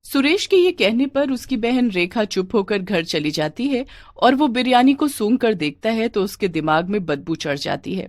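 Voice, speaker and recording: A woman's voice: medium pitch, very animated, moderate pace, no room sound. Woman storyteller, dry studio sound. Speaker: story narrator. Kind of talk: scripted narration of a moral folk tale.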